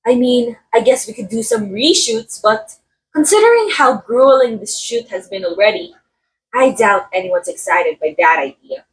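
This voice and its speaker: Woman playing a haughty, high-voiced, animated film actress. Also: moderate pace, close to an everyday voice, low-quality recording. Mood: breezy, teasing. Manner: theatrical, sardonic, drawling some words for emphasis. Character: haughty, catty film actress, condescending yet playfully menacing. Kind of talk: ASMR roleplay monologue, voice acting.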